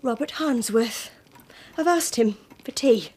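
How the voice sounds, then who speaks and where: An actress playing a defiant, coolly vindictive young daughter in a radio drama, blithe and clipped. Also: female voice, fairly high pitch, lively intonation, slow, darkish tone, husky, full character voice. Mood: airy, casual.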